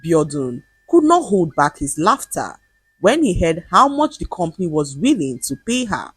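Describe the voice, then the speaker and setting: A woman narrating a scripted folktale in a measured, expressive way. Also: on the low side, slightly rough, no echo. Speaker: storyteller.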